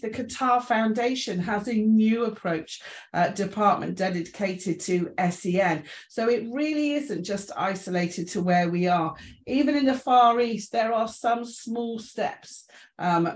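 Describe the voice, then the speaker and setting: A middle-aged woman with an English accent giving an informal presentation in a chatty, self-deprecating, reassuring way. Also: mid-range voice. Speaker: invited speaker, inclusion trainer.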